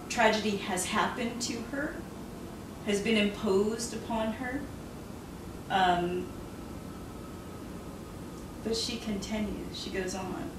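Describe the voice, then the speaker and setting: Woman author, earnest and reflective, laughs easily; distant mic, roomy, noisy room. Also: mid-range voice. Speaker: author interviewed about her novel. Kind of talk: casual interview conversation.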